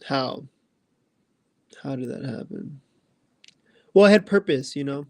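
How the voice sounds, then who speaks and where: A man's voice: mid-range voice, expressive, slow pace, no room sound. A man in his twenties chatting casually on a livestream, rambling loosely with lots of fillers. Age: 20s. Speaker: livestream host answering chat. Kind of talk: casual chat.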